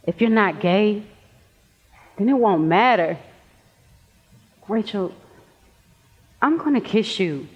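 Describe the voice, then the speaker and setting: Stage drama teen, young female actor: insistent, sincere, unhurried delivery, mid-pitched, muffled recording. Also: lively intonation, breathy edge. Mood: earnest, nervous. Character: earnest, insistent teenage girl, best friend. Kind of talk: stage play acting.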